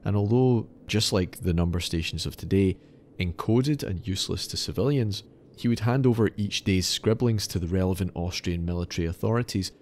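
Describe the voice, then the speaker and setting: A deep-voiced man hosts a podcast, explaining things in prepared narration with a conversational, wry edge. There is a little background noise on the recording. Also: fairly quick, darkish tone. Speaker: podcast host. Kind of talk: scripted narration mixed with casual chat.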